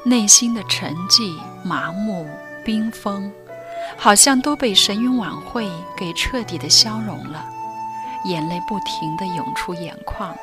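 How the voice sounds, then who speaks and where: A woman's voice: mid-range voice, expressive, a little slow, over music, lightly acted. A young woman narrating a radio drama as a former teen delinquent, in a subdued, reflective way that sometimes drops to a whisper. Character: former teen delinquent girl recalling her past.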